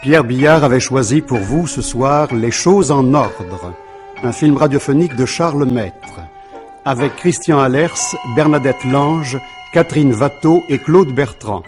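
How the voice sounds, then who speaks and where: A man's voice: medium pitch, lively intonation, unhurried, faint music behind. A man reading credits as a radio announcer, in a formal, scripted style.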